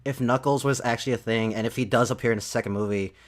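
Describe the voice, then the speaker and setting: A man's voice: mid-range voice, fairly even intonation, fast talker. A man chatting casually about movies as a podcast co-host, with mild swearing sprinkled in.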